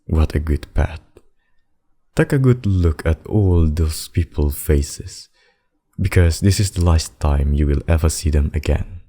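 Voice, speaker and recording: A man voicing a possessive demon king and lover in a scripted ASMR roleplay, speaking deliberately and intimately. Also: rumbling low, mellow, slightly rough, exaggerated voice. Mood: smug, possessive. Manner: slow, deliberate, intimate addresses with pet names. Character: possessive demon king, menacing abductor turned tender secret lover.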